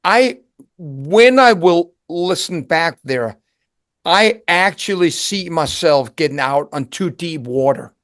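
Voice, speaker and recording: A man's voice: a little high, animated, fairly bright tone, no room sound. Danish-accented older man, dry sound. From Denmark. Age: 60s. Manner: halting, repeats words, searches for phrasing. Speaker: interview guest, singer. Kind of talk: reflective conversational interview.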